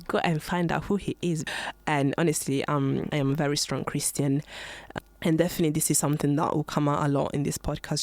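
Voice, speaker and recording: A woman's voice: deep voice, a little mellow, dry recording. A nineteen-year-old woman hosting her own podcast, talking casually and earnestly with lots of "um" and "like", a little nervous. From Ghana, born in Italy, living in the UK. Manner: chatty and earnest, many fillers like "um" and "like". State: a bit nervous. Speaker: podcast host. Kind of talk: casual solo podcast monologue.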